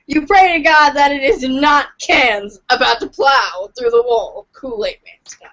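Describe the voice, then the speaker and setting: A woman giving an animated dramatic reading of a comic story for a podcast. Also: sits high, a little slow, muffled recording. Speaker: podcast reader.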